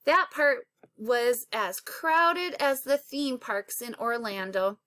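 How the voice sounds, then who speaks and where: A woman's voice: a little high, slow, bright, forceful tone, no room sound, studio quality. A woman narrating a children's novel aloud as an elementary school teacher.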